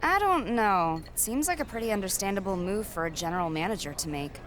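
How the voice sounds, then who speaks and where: A woman's voice: mid-range voice, animated, fairly quick, a bit bright, natural voice. A woman playing an ambitious, self-assured female wrestler in a video game cutscene, with a dry, cocky manner. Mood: puzzled.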